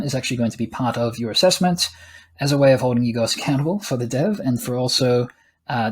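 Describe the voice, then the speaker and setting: Casual male teacher, 30s, husky, mid pitch, explaining a rubric at his desk. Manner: casual, chatty explaining with small laughs and asides. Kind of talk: screen-recorded lesson walkthrough.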